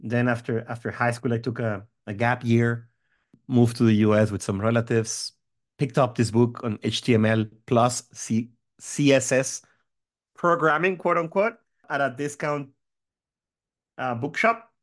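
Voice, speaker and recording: A man's voice: mid-range voice, fairly even intonation, unhurried. Friendly man telling his story. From Mexico. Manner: friendly, rambling, many fillers and restarts. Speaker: guest, tech company founder. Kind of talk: interview chat.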